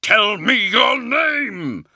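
A man's voice: high-pitched, very animated, very slow, dry recording, studio quality, voice pushed up, heavily acted. A man playing a defiant, commanding warrior knight in an audiobook, declaiming binding oaths in a raised cry. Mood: desperate shouting. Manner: declaiming, shouted incantation.

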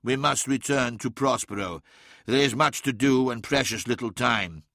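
Man acting a proud, forceful warrior lord. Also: medium pitch, moderate pace, no room sound, clean studio sound. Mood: urgent. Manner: imperious, grand, declamatory. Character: proud, commanding, defiant male warrior lord. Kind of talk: dramatic audiobook character acting.

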